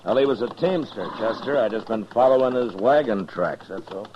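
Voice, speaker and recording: Guy playing old west us marshal, real deep american voice, steady and bossy, few words, muffled 50s radio drama. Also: moderate pace, close to an everyday voice. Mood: matter-of-fact. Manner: plain-spoken, commanding, terse. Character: steady, authoritative frontier US marshal. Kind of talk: 1950s radio western acting. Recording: dull, noisy 1950s radio recording.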